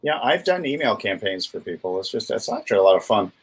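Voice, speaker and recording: A man's voice: fairly low pitch, very animated, fast, husky. An American man co-hosting a casual livestream chat, wry and jokey with a taste for wordplay. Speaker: livestream co-host.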